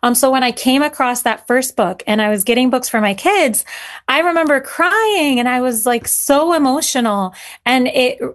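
A woman's voice: sits high, normal speed. Earnest woman author, raised in Syria. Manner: earnest, many fillers and restarts. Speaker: children's author guest. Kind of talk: casual interview talk.

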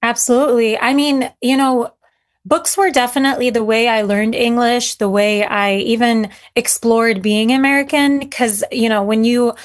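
A woman's voice: fairly high pitch, steady tone, moderate pace. A woman who came to America from Syria as a child, talking earnestly about her writing as an interview guest, with frequent fillers and restarts. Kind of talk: casual interview talk.

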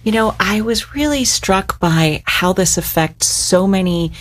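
A woman's voice: mid-range voice, lively intonation, unhurried. A woman hosting an interview, asking engaged, probing questions of a novelist. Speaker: interviewer host. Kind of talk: radio-style interview.

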